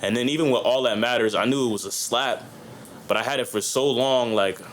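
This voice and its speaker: A man's voice: medium pitch, a little fast, fairly bright tone, a little hiss or hum. A young man, a guest rapper on a podcast, talking in a loose, rambling way full of slang and fillers. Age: young adult. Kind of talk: casual chat.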